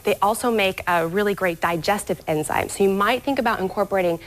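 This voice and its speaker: A woman's voice: medium pitch, quick pace, faint music behind. Upbeat woman explaining gut health tips. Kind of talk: TV morning show interview. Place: TV studio.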